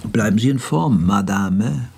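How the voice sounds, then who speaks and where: Husky, cynical drinking man. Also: on the low side, measured pace, dark tone, full character voice. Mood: mocking, melancholy. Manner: dry, sardonic. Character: cynical hard-drinking man, mockingly gallant and melancholy. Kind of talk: German radio play dialogue.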